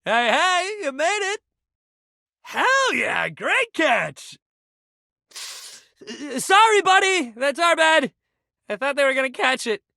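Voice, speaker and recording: Young sporty guy, very animated swooping pitch, friendly, sensitive, casual bro talk, video game character, close dry sound. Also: slow pace, gravelly, long pauses, no echo, exaggerated voice. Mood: excited.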